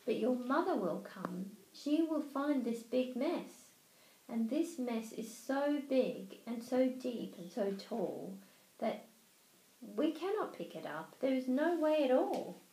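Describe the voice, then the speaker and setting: A woman's voice: high voice, sing-song, takes it slowly, dark tone, reverberant, full character voice, poor audio. A woman with an Australian accent voicing a small, anxious, scolding pet fish in a children's storybook, urgent and fretting. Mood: worried.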